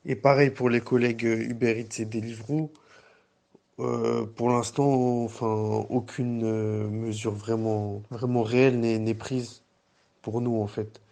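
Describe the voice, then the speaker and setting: A delivery man speaks at an ordinary middle pitch. He recounts his work in a measured way, hesitating often with fillers. His voice is plain and everyday. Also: flat delivery, gravelly, darkish tone. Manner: measured, many fillers and restarts. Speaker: pizza delivery driver. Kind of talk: personal testimony.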